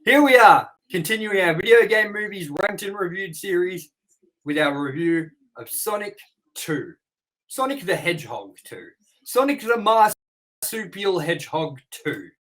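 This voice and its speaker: A man's voice: sits high, expressive, measured pace, fairly bright tone. Swearing, joking man hosting. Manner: crude, joking banter with frequent swearing. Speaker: podcast host. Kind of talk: casual co-hosted review podcast.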